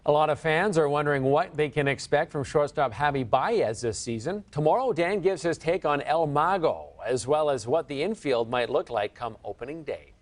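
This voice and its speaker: A man's voice: fairly high pitch, moderate pace, few pauses. An American man presenting a sports segment in scripted news narration.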